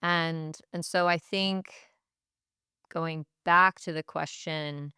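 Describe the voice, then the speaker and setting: A woman giving thoughtful interview answers as a teacher, in a reflective, measured way, pausing to choose her words. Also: low voice, steady tone, bright, forceful tone, smooth, no echo, studio quality. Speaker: Spanish teacher, podcast guest.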